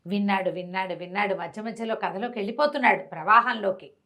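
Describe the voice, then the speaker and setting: A woman telling a devotional story in lively, conversational Telugu speech, often repeating words and restarting. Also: quick pace. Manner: lively, rises and drops in pitch and volume.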